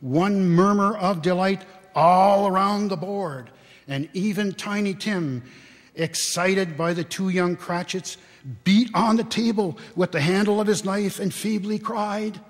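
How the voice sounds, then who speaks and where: A man reads a story aloud with theatrical, deliberate phrasing. His voice sits a little high and has a husky edge. The sound rings through a large church hall with long reverb. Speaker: reader at a dramatic reading. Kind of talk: dramatic storybook reading to a live audience. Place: large church, live public reading.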